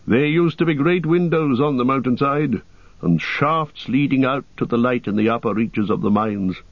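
A man voicing a wise old wizard who speaks with deliberate, reassuring authority in an audiobook. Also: medium pitch, dry recording, muffled recording, voice pushed up, lightly acted. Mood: grave, reassuring. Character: wise old male wizard guiding weary travellers.

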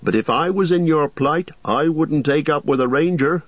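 A man voicing a fussy, excitable middle-aged innkeeper who frets loudly in a raised voice. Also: no echo, dull audio, lightly acted. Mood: alarmed. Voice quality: excitable, high and loud, quick to fret.

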